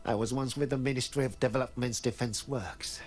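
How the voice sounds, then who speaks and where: A man's voice: mid-range voice, steady tone, brisk, close to an everyday voice. An actor playing a polite, deferential male mechanist in a fantasy game, speaking in a formal manner. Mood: courteous. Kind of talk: scripted game voice acting. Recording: music under the voice.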